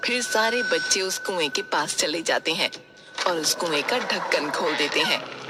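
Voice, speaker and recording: A woman's voice: lowish voice, expressive, measured pace, over loud music. A woman giving scripted narration of a children's story as its storyteller.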